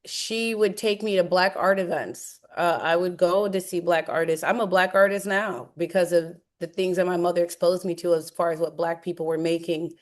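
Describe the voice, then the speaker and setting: A woman's voice: on the low side, steady tone, normal speed, hardly any pauses. A young woman speaking as a guest, recounting her upbringing in a candid, emphatic way. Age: young adult. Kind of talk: conversational talk on a video call.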